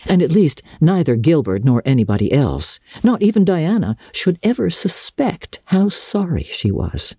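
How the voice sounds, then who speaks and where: A woman narrates a classic novel in a low, mellow voice. Her storytelling is measured and expressive, with dramatic phrasing. The recording is close and dry. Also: slightly rough, no room sound. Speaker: audiobook narrator.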